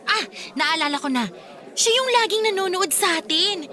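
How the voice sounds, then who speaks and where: A woman's voice: extremely high pitch, normal speed, pushed and bright, some chatter behind. A woman playing a blunt, teasing, suspicious young best friend in a radio drama, with a Filipino accent.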